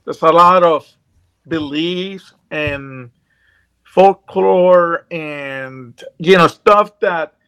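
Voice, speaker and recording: A man's voice: fairly high pitch, extremely slow, a bit bright, smooth. A man from Puerto Rico with a Puerto Rican accent, a guest talking earnestly over a video call and often restarting his sentences. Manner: earnest, rambling, frequent restarts. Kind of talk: casual chat.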